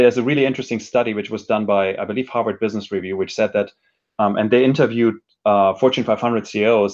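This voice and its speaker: A man's voice: medium pitch, darkish tone, lo-fi sound. A man speaking as an interview guest, explaining ideas conversationally and often checking in with "right?". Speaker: podcast guest, entrepreneurship expert.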